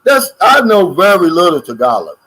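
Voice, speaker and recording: A man's voice: sits high, wildly swooping pitch, moderate pace, fairly bright tone, rough recording. An older American man co-hosting a casual livestream chat and reading out viewer comments in a folksy, drawling way. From United States. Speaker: co-host of a livestream.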